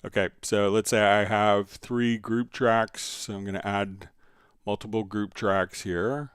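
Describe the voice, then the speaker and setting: Smooth, low-voiced man hosting a tutorial. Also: flat delivery, a little slow, dry recording, clean studio sound. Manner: conversational, explanatory, step by step. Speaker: livestream host and software instructor. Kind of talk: casual live software tutorial answering viewer questions.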